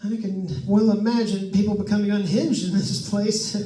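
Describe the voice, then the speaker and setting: A high-voiced actor playing a nervous man stuck in a haunted house, rambling and joking to listeners as in an old radio thriller. The sound is echoey and set well back from the mic. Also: fairly even intonation, normal speed, warm tone, close to an everyday voice. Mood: jumpy. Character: jittery wisecracking man alone in a haunted house. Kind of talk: old-time radio suspense drama acting. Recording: echoey room, distant mic.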